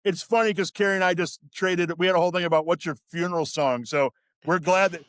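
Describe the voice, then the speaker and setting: A man's voice: high voice, fairly even intonation, quick pace, fairly bright tone, no room sound, studio quality. A man in his fifties co-hosting a podcast with upbeat, joking banter. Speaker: podcast co-host. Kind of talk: casual chat.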